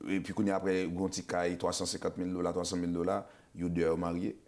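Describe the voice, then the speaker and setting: A man with a Haitian accent hosts a loose, casual talk show. He talks at a rapid clip, stumbling into restarts and repeated words. He slips Creole and English phrases into his speech. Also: sits low, level tone, darkish tone. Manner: fast, repetitive, stammering restarts, mixes Creole and English. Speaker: show host.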